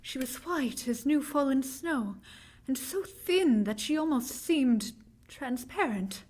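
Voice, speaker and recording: A woman acts out an earnest young heroine of an old-time radio drama. Her voice is high and swings widely in pitch, with slow, deliberate phrasing. She sounds anguished and frightened by what she has to tell. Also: expressive, darkish tone, full character voice. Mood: haunted, recounting. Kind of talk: vintage radio drama acting.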